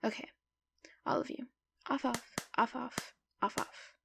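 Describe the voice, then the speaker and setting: A woman playing a commanding middle-aged school principal who runs a criminal crew, husky and creaky, measured and businesslike, in an anime-style fan dub. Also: a little high, fairly even intonation, in a whisper. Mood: stern, bossy.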